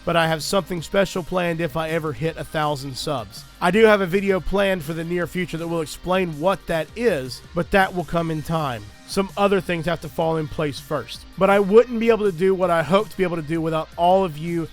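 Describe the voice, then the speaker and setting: A man with a somewhat high voice talks through game loadouts in a careful, thorough way. He is still getting over a cold. Quiet music plays under his earnest, grateful commentary. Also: brisk, hardly any pauses. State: recovering from a cold. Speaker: gaming video creator. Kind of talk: gameplay commentary and channel talk.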